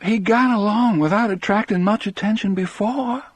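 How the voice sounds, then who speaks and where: A man's voice: sits high, moderate pace, breathy edge, no echo, voice pushed up. A man voicing a fierce, determined and smart wife in an audiobook, emphatic and indignant. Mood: tearful, angry.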